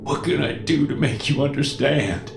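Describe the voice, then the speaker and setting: A man's voice: low-pitched, big pitch swings, a little slow, exaggerated voice. Man playing a teary middle-aged dad, raw and strained, roomy sound, faint music. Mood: pleading. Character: tearful middle-aged father, fearful and controlling.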